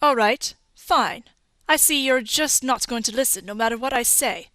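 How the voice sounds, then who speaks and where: Woman acting sharp, bright, scornful angry woman. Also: fairly high pitch, normal speed, dry recording, natural voice. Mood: bitter. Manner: scornful, confrontational. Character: angry, bitter, sharp-tongued adult woman. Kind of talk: fan dub voice acting of a video game.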